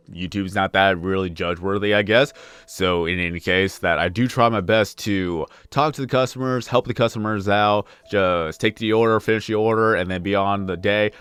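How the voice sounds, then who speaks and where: A young man with a deep baritone voice chatting casually over gameplay, rambling and correcting himself as he goes, pushing extra energy into his delivery. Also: a bit bright, clear, resonant tone, dry recording. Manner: rambling, self-correcting, repeats phrases; puts on extra energy for videos. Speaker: gaming YouTuber. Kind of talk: casual let's play commentary.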